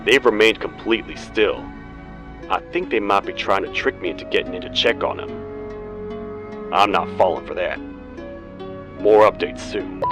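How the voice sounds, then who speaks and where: A man voicing a stern, weary cartoon toad sheriff in a video game, with a grave, formal, deliberate delivery. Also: lowish voice, lightly acted. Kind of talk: video game character acting, log narration.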